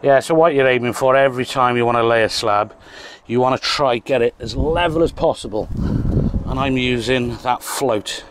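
A man's voice: medium pitch, moderate pace, a crowd in the background. Chatty Welsh man in a garden. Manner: chatty, self-mocking, rambling asides. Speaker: landscaper. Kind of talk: casual how-to vlog. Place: outdoor garden beside a house. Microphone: handheld phone, later a plugged-in mic.

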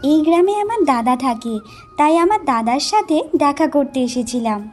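A woman plays a jealous, self-serving village wife in a cartoon folk tale. Her voice is very high, with a complaining, questioning manner, over soft background music. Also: very animated, normal speed. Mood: curious. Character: envious, greedy younger village wife, curt and self-serving. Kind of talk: Bengali fairy-tale cartoon dubbing.

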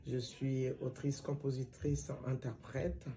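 A middle-aged woman from Mali, a singer and griot, giving measured, reflective interview answers with pauses. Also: rumbling low, flat delivery, warm tone, slight background noise. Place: plain indoor room, seated at a table.

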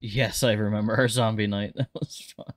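A man with a fairly low voice speaks in a hesitant, bemused way. He is improvising in a game roleplay, and the sound is close and dry with little room in it. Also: steady tone, moderate pace, no echo. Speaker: roleplay streamer playing a police lieutenant.

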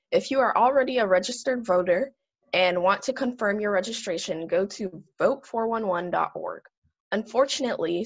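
Student announcer, woman, gravelly and husky, lo-fi sound. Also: mid-range voice. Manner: reading a script. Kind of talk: school morning announcements.

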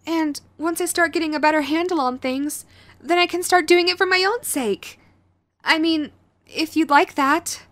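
A woman's voice: extremely high pitch, moderate pace, fairly bright tone, dry recording. A woman playing a sweet, clingy, remorseful yandere girlfriend in an audio roleplay, hesitant and pleading, speaking intimately to the listener. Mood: hesitant, earnest. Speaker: voice actor.

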